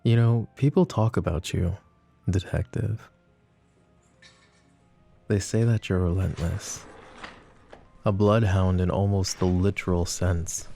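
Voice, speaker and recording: A man voicing a smug, flirtatious mafia boss who teases and taunts the woman questioning him, in soft-spoken roleplay ASMR. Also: low-pitched, fairly even intonation, takes it slowly, gravelly, long pauses, soft background music, heavily acted. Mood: smug teasing. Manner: teasing, taunting, calls her pet names.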